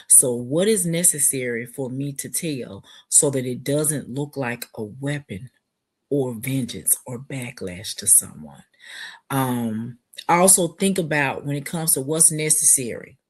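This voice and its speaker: A woman's voice: rumbling low, slightly rough. A woman author talking in an earnest, reflective podcast conversation, asking herself searching questions. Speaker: author and guest. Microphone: remote video call.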